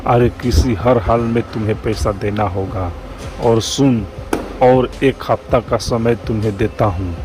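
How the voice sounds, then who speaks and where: Man acting slow-spoken, stern old village moneylender. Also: fairly low pitch, background noise, lightly acted. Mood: stern, threatening. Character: greedy, threatening old male village moneylender. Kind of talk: cartoon moral-story character acting.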